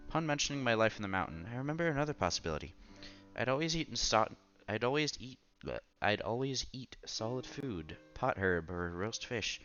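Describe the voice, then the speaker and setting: A man's voice: mid-range voice, very animated, brisk, a bit bright, lo-fi sound, soft background music. A man doing a casual let's-play, reading a visual novel aloud with asides and stumbling over words as he goes.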